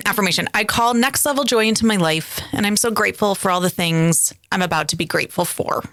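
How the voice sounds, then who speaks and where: A woman's voice: medium pitch, a little fast, fairly bright tone, no echo, clean studio sound. A woman in her forties co-hosting a podcast, chatting casually and reading tarot card meanings with playful comic asides. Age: 40s. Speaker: podcast co-host.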